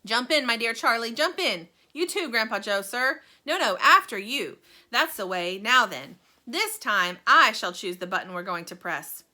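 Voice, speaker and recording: An eccentric candy maker bursts with exuberant, theatrical delight, as a woman puts him on for a storybook reading. The voice sits high and bright and swings widely in pitch. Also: lively intonation, moderate pace.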